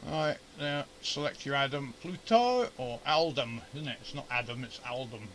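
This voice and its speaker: A man's voice: mid-range voice, animated, moderate pace, fairly bright tone, slight background noise, rough recording. A man chatting informally and thinking aloud as a hobbyist host, grumbling his way through a hands-on equipment demonstration. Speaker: amateur radio hobbyist and video host. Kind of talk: casual unscripted shack demonstration, muttering through troubleshooting. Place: amateur radio shack at home.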